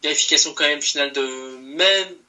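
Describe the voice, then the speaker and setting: Bright higher-voiced man. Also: moderate pace, soft background music, poor audio. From Italian heritage. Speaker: podcast co-host. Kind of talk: casual football chat.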